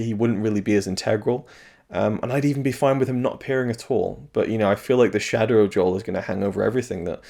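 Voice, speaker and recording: A slightly hoarse man reviewing video games in a casual, rambling solo talk, thoughtful and full of restarts. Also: on the low side, a little mellow.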